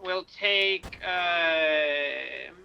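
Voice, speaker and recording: A man's voice: a little high, drawn-out words, creaky, lo-fi sound, a little hiss or hum. A man in a police roleplay, giving procedural directions over voice chat as he coordinates a convoy. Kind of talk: improvised police roleplay radio talk.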